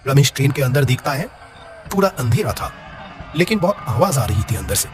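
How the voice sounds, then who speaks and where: Animated male horror narrator over music. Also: medium pitch, a little fast. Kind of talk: dramatic scripted horror story narration.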